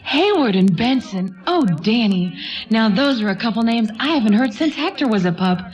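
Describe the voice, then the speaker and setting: A woman plays a nostalgic grandmother in a radio drama, her voice a little high. She talks in a folksy, fond way and gently bosses her family. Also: animated, normal speed, soft background music, close to an everyday voice. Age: older. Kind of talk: radio play acting.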